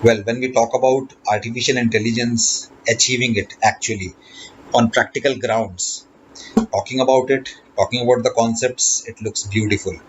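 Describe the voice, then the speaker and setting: A man giving an explanatory lesson as a lecturer, speaking haltingly and rephrasing as he goes. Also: mid-range voice, steady tone. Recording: dull lo-fi recording with background noise.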